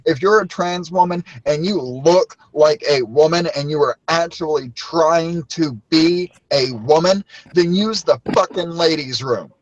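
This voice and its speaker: A woman with a deep voice talking bluntly and candidly in a casual group chat, swearing freely. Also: unhurried, few pauses, dry recording. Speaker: caller. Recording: dull lo-fi call audio.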